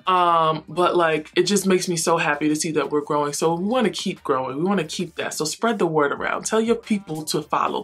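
A woman's voice: fairly low pitch, breathy edge. A woman in her thirties chatting playfully through a wig tutorial in her bedroom. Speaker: beauty vlogger. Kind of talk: casual wig tutorial and chat. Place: bedroom with shelves, indoors.

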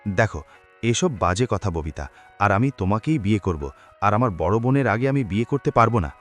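A man's voice: on the low side, expressive, a little fast, faint music behind. Overwrought haunted young man, wildly swinging delivery by an actor. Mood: angry. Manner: overwrought, pleading, exclaiming. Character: panicky young man haunted by his dead lover. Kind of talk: melodramatic horror cartoon dialogue.

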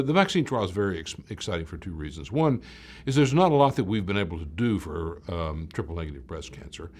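An older man with a fairly low voice speaks as a research professor explaining his work. His delivery is measured, with emphatic stress on key words and phrases that drop away at the end. There is some background noise in the indoor recording. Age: older, about late 60s. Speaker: medical researcher, professor of cancer biology. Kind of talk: explanatory talk to camera about research.

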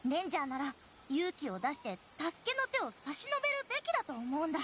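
A woman playing an earnest, shy teenage forest ranger girl in an anime game, with a soft, hesitant delivery. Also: high voice, very animated, fast, very noisy. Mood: eager, nervous. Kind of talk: Japanese anime game acting.

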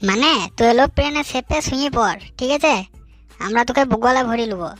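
Man doing a high-voiced bossy cartoon village lad. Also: fairly quick, fairly bright tone, faint music behind. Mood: scheming. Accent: rural West Bengal. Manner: bossy, teasing. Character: bossy young village man leading his friends. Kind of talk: comic cartoon dialogue.

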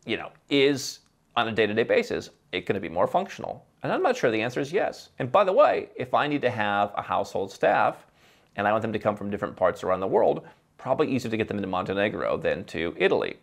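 A man, hosting an opinionated talking-head video, animated and full of rhetorical questions. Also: lowish voice, fast, vocal fry. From Ohio, USA. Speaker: host. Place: dim indoor library set.

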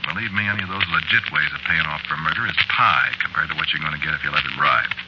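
A man's voice: very deep voice, fast. An American actor playing a world-weary murderer who tells his story in a folksy, knowing, conversational way in a 1940s radio drama. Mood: ominous, knowing. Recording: noisy, muffled 1940s radio recording.

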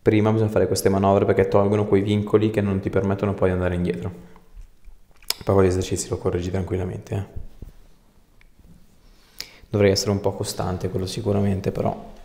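Low-voiced chiropractor, a man in an echoey room. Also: flat delivery, brisk, pauses often, dark tone. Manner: short, informal, reassuring directions. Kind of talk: hands-on clinical instructions and casual chat with a patient. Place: small indoor treatment room. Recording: echoey room with noticeable background noise.

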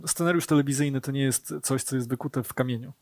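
A man's voice: medium pitch, fast, darkish tone, no room sound. Thoughtful man, dry studio sound. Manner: thoughtful, many hesitations and repeated words. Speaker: guest, film director and executive producer. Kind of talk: casual interview talk. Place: small studio set, indoors.

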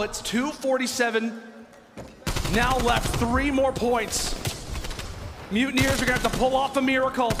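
A man giving fast live play-by-play commentary on an esports match, pushing into shouts at the big moments. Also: very high voice. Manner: rapid, rising to shouts at big plays. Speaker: esports caster. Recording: broadcast over loud music.

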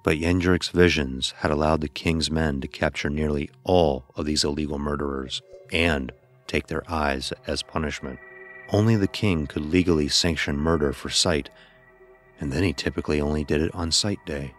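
A man playing a blind son who narrates his dark ordeal in a solemn, literary way, as horror drama. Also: rumbling low, moderate pace. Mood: somber. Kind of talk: scripted first-person horror narration. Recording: voice over background music.

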